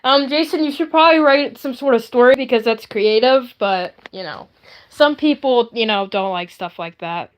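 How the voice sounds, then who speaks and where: High-voiced woman chatting playfully on a livestream. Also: normal speed. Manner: rambling, playful, silly. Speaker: livestream host. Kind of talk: casual chatty livestream, showing handmade puppets.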